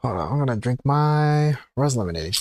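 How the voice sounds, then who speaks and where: A man talks through his gameplay on a livestream in a casual, informal way. His pitch is mid-range and he often thinks aloud, with faint noise and clicks in the background. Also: expressive, normal speed, a little mellow. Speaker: game streamer.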